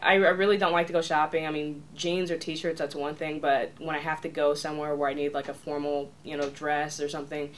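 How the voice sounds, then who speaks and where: A woman's voice: low voice, little pitch movement, brisk, few pauses, a little hiss or hum. Chatty woman in her twenties. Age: 20s. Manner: chatty, earnest, many fillers like "you know" and "like". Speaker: casting applicant. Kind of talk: casting tape self-introduction.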